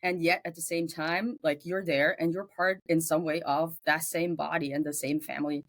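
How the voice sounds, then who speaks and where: A guest in an interview who grew up in Germany and speaks English with a German accent, thoughtful and searching for words, with frequent pauses and fillers. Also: female voice, low voice, fairly even intonation, no room sound. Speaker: guest theologian. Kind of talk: interview conversation.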